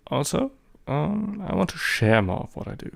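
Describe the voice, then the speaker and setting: Gravelly German-accented man, rambling. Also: fairly high pitch, sing-song, normal speed. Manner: rambling, hesitant, many fillers. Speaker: photography vlogger. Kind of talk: casual spoken commentary.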